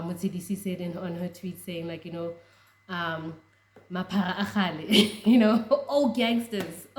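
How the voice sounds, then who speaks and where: A young South African woman whose first language is Tswana, chatting excitedly about rugby with frequent laughs and restarts. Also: lowish voice, reverberant. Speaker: rugby fan vlogger. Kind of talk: casual reaction chat.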